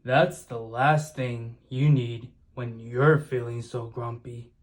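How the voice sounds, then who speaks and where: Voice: mid-range voice, little pitch movement, slow pace, voice pushed down, lightly acted. A storyteller voicing a bluntly observant, matter-of-fact ape friend in a children's storybook.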